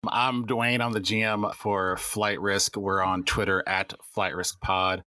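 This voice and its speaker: A man game master on a podcast roundtable who talks in a jokey way with quick asides. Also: deep voice, flat delivery, bright, forceful tone, no room sound.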